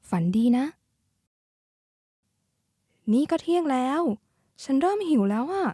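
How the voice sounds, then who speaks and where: A woman acts out a youthful, caring schoolgirl from a cartoon card game. The voice sits high and is expressive and familiar, the way one talks to close friends. The sound is close and dry, with no room on it. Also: slow, no room sound. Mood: gentle concern. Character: lively, caring schoolgirl who loves a card-flicking game. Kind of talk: character voice pack lines.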